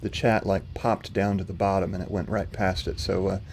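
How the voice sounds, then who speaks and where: A man with a very low, slightly rough voice hosts a live stream from home. He talks in a calm, level tone full of small fillers. A faint hum of noise sits under his voice. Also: moderate pace. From not from North Carolina. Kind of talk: casual livestream commentary answering chat.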